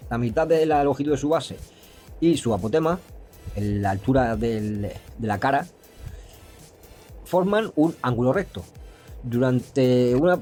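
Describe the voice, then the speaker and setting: A man explaining geometry step by step, pausing and restarting often and stumbling when he reads English passages aloud. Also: mid-range voice, warm tone. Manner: hesitant, with frequent restarts and fillers. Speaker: math explainer.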